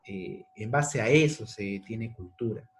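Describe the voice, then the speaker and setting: A man's voice: on the low side, measured pace. A man giving a casual history lesson to secondary students, thinking aloud and rephrasing, often checking in with "¿de acuerdo?". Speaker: history teacher.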